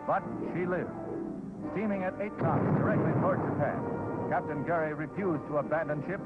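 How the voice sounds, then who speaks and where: A man's voice: on the high side, normal speed. A man with an American accent delivers dramatic scripted newsreel narration in a solemn, declamatory way. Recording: tinny vintage newsreel soundtrack, loud music.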